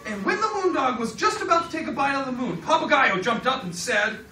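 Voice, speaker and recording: A woman's voice: high voice, animated, fast, sharp tone. A woman telling a story live to children in a dramatic manner, feeding short lines to be repeated. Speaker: storyteller leading a children's puppet show. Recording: roomy, distant mic, noisy room.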